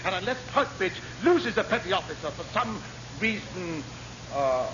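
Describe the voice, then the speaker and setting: An actor playing an exasperated, officious senior naval officer who blusters and sputters in a vintage radio comedy. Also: male voice, sits high, big pitch swings, moderate pace. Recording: dull, noisy old radio recording.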